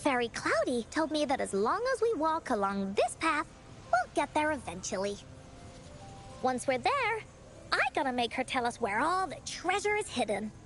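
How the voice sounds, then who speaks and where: A woman's voice: sits high, sing-song, normal speed, a bit bright, soft background music. A woman voicing a cheerful, imaginative little girl in a video game, an eager and bubbly tour guide. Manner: bubbly, childlike. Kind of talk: video game character acting.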